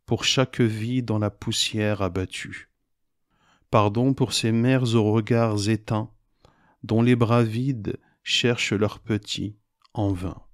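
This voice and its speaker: A man reciting his own poem in a solemn, grave and measured way, pausing between short phrases. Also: lowish voice, no echo, clean studio sound.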